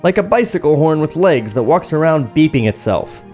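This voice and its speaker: A man's voice: fairly high pitch, lively intonation, moderate pace, a little mellow, soft background music. A man hosting a podcast, reading screenplay stage directions aloud into a studio microphone. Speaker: podcast host and script narrator.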